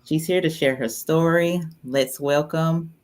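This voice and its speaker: Low-voiced woman hosting an online show. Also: measured pace, a little mellow. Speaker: host. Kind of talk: welcoming a guest on an online show.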